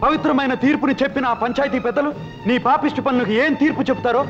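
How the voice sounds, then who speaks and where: An actor playing a righteous young man who scolds in fast, indignant bursts. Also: extremely high pitch. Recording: dull old film soundtrack with music.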